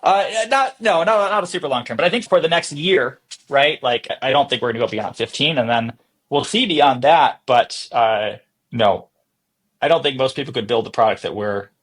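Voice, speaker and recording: A man's voice: medium pitch, normal speed, a bit bright. A man being interviewed as a startup boss, talking informally and explaining his thinking with examples and questions he poses himself. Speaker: tech startup CEO, interview guest. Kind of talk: conversational podcast interview.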